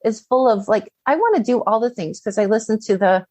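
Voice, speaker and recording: A woman's voice: a little high, expressive, brisk, darkish tone, dry recording. A middle-aged woman giving conversational coaching advice as an interview guest, often posing questions and acting out inner dialogue.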